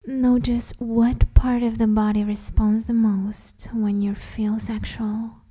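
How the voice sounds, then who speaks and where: A woman leads a guided meditation in a very dark, velvety voice that often slips toward a whisper. Her delivery is slow and soothing. She keeps her intonation even as she gently gives instructions. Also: a little high. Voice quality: soft, breathy, half-whispered.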